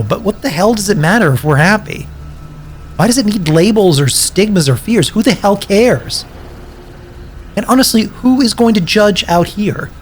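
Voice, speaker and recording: An actor playing a bitter, self-pitying wasteland survivor in an audio drama, sarcastic, profane and defensive. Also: male voice, high voice, a little hiss or hum. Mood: pleading, defensive.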